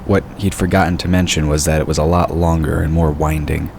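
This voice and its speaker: Male narrator for fantasy audio drama: extremely low voice, scripted storytelling, clear noisy ambience underneath. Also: flat delivery, a little fast. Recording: clearly noisy, sound effects behind. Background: rumbling ambience and sound effects.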